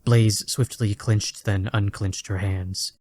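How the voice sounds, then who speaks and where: A man reading scripted story narration in a deliberate, dramatic way. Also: lowish voice, fairly even intonation, a little mellow.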